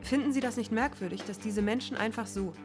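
A woman's voice: a little high, a little fast, over music, lightly acted. Actress playing a pointed female talk show host. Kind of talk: German radio play talk show.

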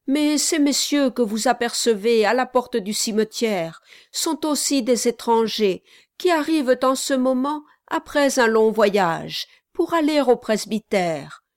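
A woman's voice: fairly high pitch, lively intonation, measured pace, voice pushed up. A woman reading aloud, voicing a polite, apologetic young man asking for directions. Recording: dry close audiobook recording.

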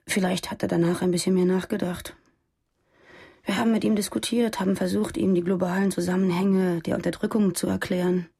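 An actress playing an earnest, idealistic former militant on the run in a German radio drama, in a husky voice. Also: female voice, lowish voice, level tone, brisk. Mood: earnest, defiant. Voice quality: husky, creaky, mellow.

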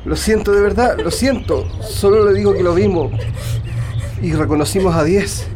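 Actor as earnest, higher-voiced Chilean man. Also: normal speed, noisy background, lightly acted. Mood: soothing, sorry. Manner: earnest, insistent, repeating reassurances. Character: earnest man bearing bad news, soothing and urgent. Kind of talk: radio drama acting.

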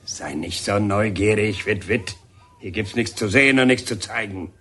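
An actor playing a gruff, stubborn but kindly painter in a radio drama, speaking in terse, muttering phrases. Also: male voice, on the low side, moderate pace, a bit bright. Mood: evasive, irritable. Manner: terse, muttering, hesitant interjections. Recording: background noise, scene ambience. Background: ambient noise.

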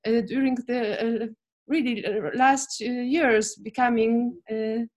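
A woman of about forty with a slightly high voice, who talks slowly and haltingly. She searches for words and starts her phrases over and over. She asks questions as an interviewer in a relaxed chat. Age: 40s. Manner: hesitant, halting, with many "uh" fillers and restarts. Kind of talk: casual interview.

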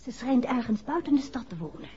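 Playful inquisitive wife, high lively voice, coy, chatty, vintage radio play, dull recording. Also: female voice, fairly quick. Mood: pleased, chatty. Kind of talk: 1960s Dutch radio play acting.

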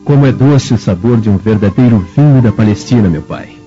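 Man acting a dark-voiced, contented son. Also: lowish voice, brisk, close to an everyday voice. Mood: pleased. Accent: Brazilian. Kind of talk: Brazilian radio drama acting. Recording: muffled audio with faint music.

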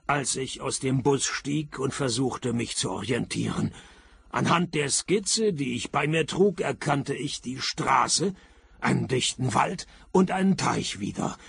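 An actor playing a world-weary, sarcastic private detective who narrates and talks in a dry, deadpan way in a radio play. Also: male voice, mid-range voice, unhurried, creaky, slight background noise. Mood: dry, weary narration. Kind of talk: hard-boiled detective radio play, first-person narration and dialogue.

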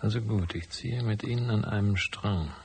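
Gravelly, laconic hard-boiled detective played by a man. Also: lowish voice, moderate pace, a little mellow, a little hiss or hum, lightly acted. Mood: dry, wary. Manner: terse, dry, matter-of-fact. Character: hard-boiled, cynical male private detective, laconic and world-weary. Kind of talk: German radio drama acting and first-person narration.

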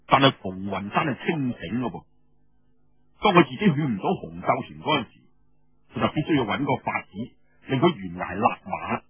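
A man with a Cantonese accent telling a story in an expressive, colloquial oral storytelling style, with rhetorical asides. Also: medium pitch, fairly quick, husky, dry recording, dull audio, faint music behind. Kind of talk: dramatic traditional oral storytelling narration.

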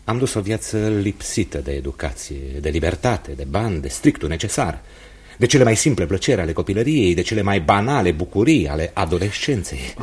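An actor playing an earnest, respectful young pupil in a radio drama, husky and formally impassioned. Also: male voice, low-pitched, big pitch swings, darkish tone, exaggerated voice. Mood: bitter, earnest.

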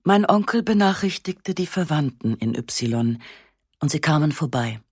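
A woman reading a literary first-person story in a sober, measured, matter-of-fact way. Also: low voice, lively intonation, darkish tone, husky, no room sound, studio quality. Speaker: narrator.

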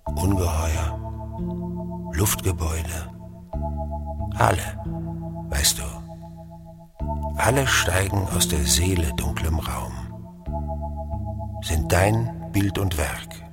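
Very slow, low-voiced man reciting poetry. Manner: measured, in short separated phrases. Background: loud organ and synthesizer music.

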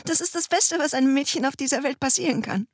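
An actress playing a flighty, romantic yet cynical young society wife in a radio play, with a theatrical, dreamy manner. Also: female voice, high voice, dry recording. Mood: bitter. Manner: theatrical, dreamy, drawn-out, brittle. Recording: faint noise behind studio radio drama.

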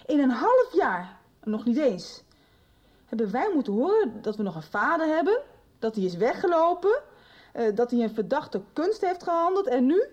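Frank impatient young daughter, high lively voice, breathy, radio play. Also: female voice, normal speed, muffled recording. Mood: agitated. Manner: agitated, insistent questioning. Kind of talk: radio drama acting.

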